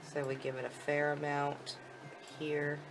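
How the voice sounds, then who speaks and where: A woman's voice: low voice, flat delivery, a little slow, vocal fry, background noise. A woman giving a chatty, informal live walkthrough commentary of a video game, explaining as she goes. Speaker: video game demo presenter.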